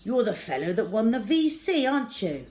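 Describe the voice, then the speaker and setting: A woman's voice: a little high, very animated, moderate pace, darkish tone, pitched above the performer's own voice. A woman voicing a pompous, blustering male army colonel in a children's storybook. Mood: surprised, politer. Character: gruff, indignant male army colonel, spluttering then politer.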